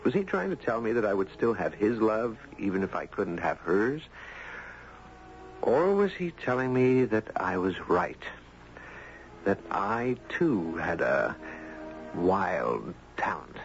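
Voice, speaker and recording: Low-voiced, gentle, reflective man. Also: sing-song, slow, gravelly, soft background music, full character voice. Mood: musing, hopeful. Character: gentle, reflective man narrating his own tale, Ben. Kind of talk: 1970s radio drama acting. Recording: dull 1970s radio broadcast.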